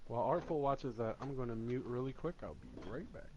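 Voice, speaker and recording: A man's voice: mid-range voice, lively intonation, brisk, noisy background. Male co-host, emphatic and profane, heard through a muffled lo-fi mic. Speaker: podcast co-host. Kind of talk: casual gaming podcast chat. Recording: dull lo-fi mic.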